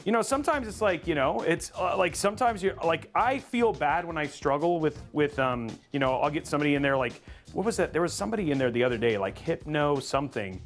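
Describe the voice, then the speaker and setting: A man's voice: fairly high pitch, lively intonation, fast talker, hardly any pauses, soft background music. A man hosting a live stream, chatting casually and rambling upbeat with lots of fillers and restarts. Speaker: host of a live PC-building stream.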